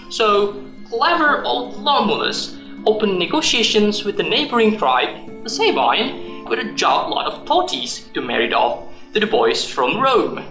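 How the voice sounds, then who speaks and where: A woman as sardonic, animated accused executive, roomy sound. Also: medium pitch. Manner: sarcastic, wordy, pompous. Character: indignant, sardonic, learned male executive accused of misconduct. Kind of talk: radio drama acting.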